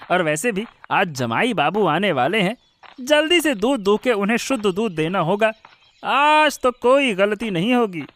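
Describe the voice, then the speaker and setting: An actor playing an earnest village cowherd and milkman in an animated moral story. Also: high voice, measured pace, dry recording. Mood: cheerful. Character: earnest village cowherd, a male servant who delivers milk.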